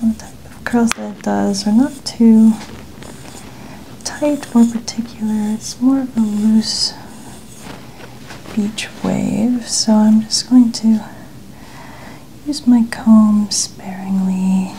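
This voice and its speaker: Young female ASMR stylist. Gentle, near-whispered casual delivery, creaky, faint room noise. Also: mid-range voice, fairly even intonation, slow, long pauses, poor audio. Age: young adult. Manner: soft-spoken, gentle, often near whisper. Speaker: ASMR hair stylist host. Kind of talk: ASMR role-play narration, casual chat. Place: small indoor room. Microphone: clip-on lapel mic.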